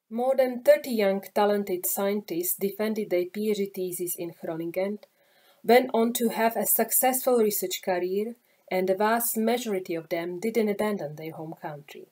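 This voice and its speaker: A middle-aged woman from eastern Slovakia with a Slovak accent, giving a prepared, formal and measured conference address as a professor. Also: mid-range voice, warm tone. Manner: formal and measured, reads from a script. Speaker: conference keynote speaker, professor.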